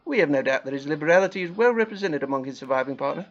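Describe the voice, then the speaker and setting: A man playing a courteous, formal Victorian gentleman collecting for charity in an audio play. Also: fairly high pitch, expressive, very fast, lo-fi sound, lightly acted. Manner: polite, formal.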